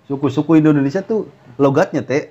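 A man's voice: fairly high pitch, a little fast. A man chatting casually and teasing his co-host. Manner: jokey, teasing, talks over his partner. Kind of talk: singing then casual chat. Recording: muffled lo-fi audio with loud guitar.

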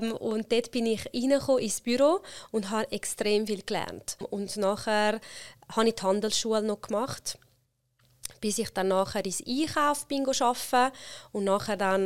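A middle-aged woman with a Swiss German accent, chatting casually as an interview guest, with many fillers and frequent laughter. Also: medium pitch. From Switzerland.

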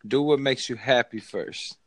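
A man's voice: medium pitch, normal speed, dry recording. An American man in his thirties with an American accent, chatting casually and giving friendly advice, often calling the other man "man". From United States. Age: 30s. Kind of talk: casual conversation.

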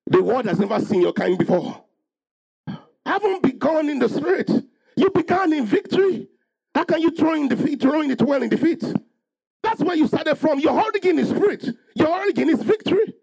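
High-pitched, creaky-voiced male preacher. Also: sing-song, fairly quick, a little mellow, poor audio. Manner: emphatic, declarative, repeating phrases for effect.

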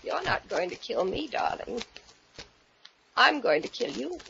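Cold, vengeful radio-thriller wife, high and creaky. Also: female voice, sing-song, sharp tone, full character voice. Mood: coldly menacing. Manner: mocking, controlled, deliberate. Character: cold, vengeful wife coolly taunting the husband she plans to murder. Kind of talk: 1940s radio thriller acting. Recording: muffled, noisy old radio recording with clicks.

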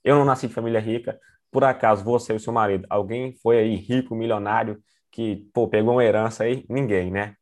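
Fast-talking low-voiced male host. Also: steady tone, darkish tone. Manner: brisk, informal, sign-off phrases. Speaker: podcast host. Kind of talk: casual interview chat.

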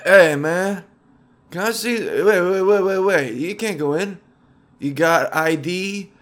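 A man's voice: high-pitched, slow pace, fairly bright tone, pitched above the performer's own voice. A man doing a stoned, drawling bar bouncer. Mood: mellow. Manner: drawling, easygoing slacker talk. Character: stoned laid-back male bouncer at a bar.

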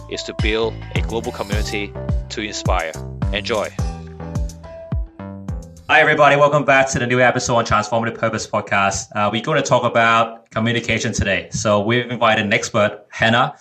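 A man hosting a podcast, who reads a scripted welcome and then chats casually with his guest, laughing often. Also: lowish voice. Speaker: podcast host.

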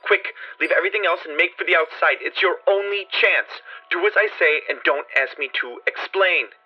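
A man's voice: fairly high pitch, normal speed, muffled recording, voice pushed up, natural voice. A man voicing a desperate, trapped man who pleads and cries out warnings from below. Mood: panicked. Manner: desperate, pleading, rising to shrieks.

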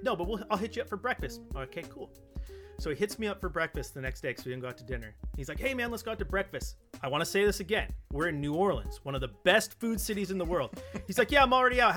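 Bright, high-pitched man telling casual stories. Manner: animated storyteller who acts out quoted dialogue. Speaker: podcast co-host. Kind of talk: casual podcast storytelling.

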